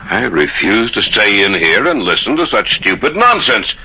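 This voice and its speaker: An actor playing a stubborn, hot-tempered skeptical husband, with a gravelly voice and forceful, theatrical radio horror delivery. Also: male voice, on the low side, sing-song, normal speed, full character voice. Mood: scornful. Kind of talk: old-time radio horror acting. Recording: dull, hissy old radio recording.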